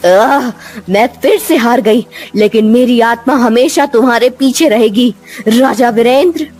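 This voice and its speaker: A woman's voice: a little high, unhurried, a little mellow. A vengeful she-serpent spirit, acted by a woman from a cartoon tale. She is menacing and defiant, speaking her threats with heavy drama over music. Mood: bitter, defeated.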